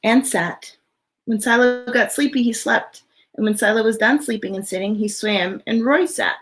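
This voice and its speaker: A woman reading a picture book aloud to children in an expressive storytelling way, with casual, chatty asides. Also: fairly high pitch, normal speed.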